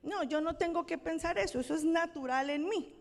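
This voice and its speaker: A woman's voice: very high-pitched, a little fast, creaky. A woman pastor from Colombia with a Colombian accent, preaching a conversational sermon and checking in with her congregation. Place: church sanctuary. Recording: long church-hall reverb.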